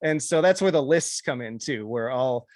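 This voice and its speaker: A man's voice: mid-range voice, expressive, normal speed, no echo, clean studio sound. A man chatting casually as a panelist in a roundtable discussion. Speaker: music video creator on a panel.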